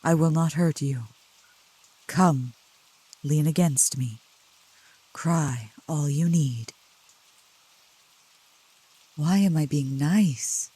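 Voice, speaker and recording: Woman acting as a seductive female demon, soft ASMR roleplay, very unhurried, dark mellow tone, coaxing and protective, rain behind. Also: slightly rough, no room sound, full character voice, low-quality recording. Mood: tender, coaxing. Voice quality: soft low voice. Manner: slow, deliberate, coaxing, with pet names. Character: seductive, protective female demon, soothing yet scornful of cultists.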